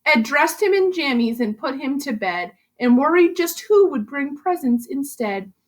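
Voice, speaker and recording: A woman with a high voice reads a storybook aloud to children. Her delivery is expressive and theatrical, leaning into the rhymes like a practiced school reading teacher. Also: a little slow, poor audio. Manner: animated, theatrical emphasis on rhymes.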